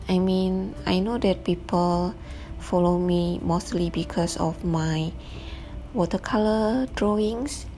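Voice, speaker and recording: A woman, chatting softly through a casual Q&A voice-over, sometimes dropping into hushed asides. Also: on the low side, steady tone, takes it slowly. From Indonesia. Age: 20s. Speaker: artist vlogger. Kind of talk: casual Q&A voice-over while painting. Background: faint background music.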